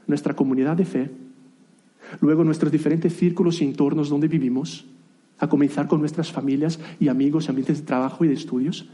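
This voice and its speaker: A man's voice: on the high side, steady tone, a little fast, dark tone. A man in his thirties, a native Portuguese speaker, preaching a sermon in a conversational way with light self-deprecating asides and frequent restarts. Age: 30s.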